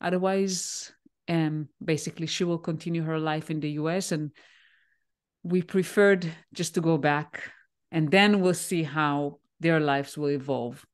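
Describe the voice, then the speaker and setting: A woman in her forties from Israel, a native Hebrew speaker, answering interview questions on a video call with a headset, pausing often with fillers. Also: low-pitched, steady tone, clear, resonant tone. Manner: thoughtful, pauses with many "uh" fillers. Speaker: guest, leadership coach. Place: home room, indoors.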